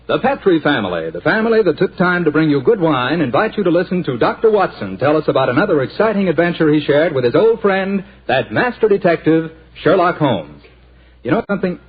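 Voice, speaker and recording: A man announcing a 1940s radio show and reading a wine ad with a hearty salesman's pitch. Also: big pitch swings, brisk, slightly rough. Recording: tinny 1940s radio transcription.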